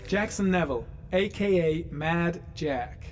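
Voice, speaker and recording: A man playing a calm, methodical detective in a video game, thinking aloud in deliberate, clipped, analytical phrases. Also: fairly high pitch, slight background noise. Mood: analytical, focused. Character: calm, methodical male detective profiling a killer. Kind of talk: video game voice acting, investigative monologue.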